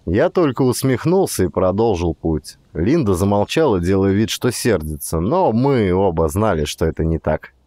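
A man's voice: low-pitched, big pitch swings, normal speed, few pauses, mellow, no room sound. A man giving dramatic scripted narration as an audiobook narrator.

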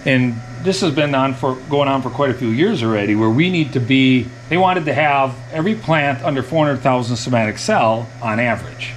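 A man's voice: medium pitch, expressive, a little fast, hardly any pauses, slight background noise, low-quality recording. A man in his thirties, a dairy farmer, explaining things in a casual, plain-spoken, folksy way in a small indoor work room. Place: small tiled milk room with steel tank, indoors.

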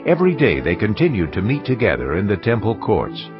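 A man's voice: fairly low pitch, wildly swooping pitch, a little fast. A man reading scripted narration for a dramatized scripture audiobook. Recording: dull sound with music underneath.

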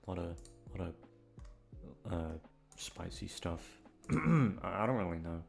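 Casting: male channel host, slightly low pitch, casual outro ramble, scattered, sheepish, sweary. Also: big pitch swings, slow, creaky, soft background music. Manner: awkward, self-deprecating, swearing, scattered. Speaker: audio roleplay voice actor, channel host.

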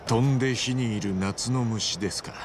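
An actor playing an earnest, excitable, rough-edged gangster hero in a game, with a gravelly voice and boyish, casual delivery. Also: male voice, medium pitch, normal speed, background noise, lightly acted. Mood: puzzled, eager. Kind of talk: animated Japanese game cutscene acting.

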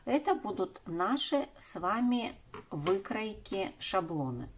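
A woman's voice: mid-range voice, very animated, slow, slight background noise. A woman in her forties giving step-by-step craft instructions for children, speaking in affectionate diminutives. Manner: uses affectionate diminutive words. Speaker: craft tutorial presenter.

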